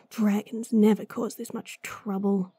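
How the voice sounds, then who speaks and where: A woman voicing a gruff, impatient female bounty hunter in a fantasy audio drama, blunt and sardonic, sighing often. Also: mid-range voice, level tone, slow, warm tone, no echo. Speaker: voice actress.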